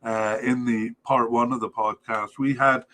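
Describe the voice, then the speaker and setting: A man going through a squad list on his soccer podcast, halting often with fillers and correcting himself as he goes. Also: medium pitch, steady tone, sharp tone. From Wales. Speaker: podcast host.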